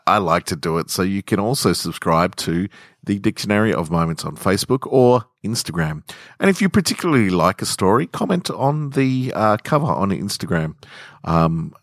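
A man's voice: low-pitched, fairly bright tone, no echo, clean studio sound. An Australian man hosting a story podcast, speaking in an easygoing, self-deprecating way. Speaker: podcast host and story reader. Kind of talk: story reading and casual podcast sign-off. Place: home garage.

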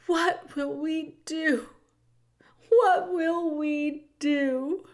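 A woman's voice: on the high side, expressive. A woman voicing a distraught storybook castle cook, wailing and ferocious in her grief. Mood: sobbing despair. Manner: wailing, shouting, drawn-out.

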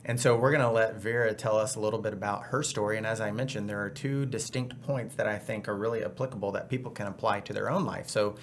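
A man's voice: fairly low pitch, fairly even intonation, fast talker, few pauses, a little hiss or hum. A man hosting a podcast, upbeat and chatty with rambling asides. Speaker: podcast host. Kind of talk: casual podcast chat.

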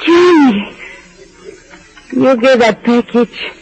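An actor playing a scheming, coaxing femme fatale in a 1950s radio drama. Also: male voice, extremely high pitch, expressive, extremely slow, exaggerated voice.

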